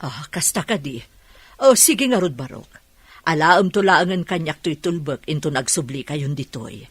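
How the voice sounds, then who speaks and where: A woman's voice: sits low, lively intonation, normal speed, slightly rough, no room sound. A woman acting a blunt, motherly older village woman in an Ilocano radio drama, with an Ilocano Filipino accent.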